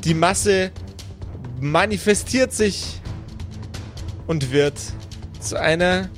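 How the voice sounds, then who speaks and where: A man's voice: sits high, extremely slow, soft background music. A man working as game master, improvising narration and chatting casually with his player.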